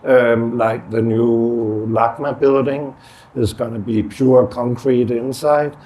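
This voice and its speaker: A middle-aged man, speaking as an artist in a relaxed conversation and pausing often to search for words. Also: mid-range voice. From Denmark. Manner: halting, searching for words with many fillers. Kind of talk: casual conversation.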